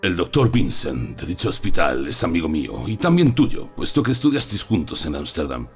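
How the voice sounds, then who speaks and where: Extremely deep, solemn old professor acted by a man. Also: very animated, moderate pace. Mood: earnest, persuasive. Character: solemn, persuasive older male professor confiding terrible truths. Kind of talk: dramatized audiobook acting. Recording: music under the voice.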